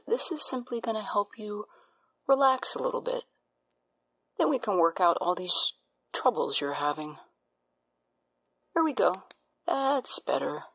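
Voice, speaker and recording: Woman acting breathy doctor lady, bright voice, reassuring in a creepy patronizing way, audio drama. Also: mid-range voice, exaggerated voice. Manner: soothing, clinical, patronizing. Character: calm, smoothly reassuring doctor with an unsettling edge.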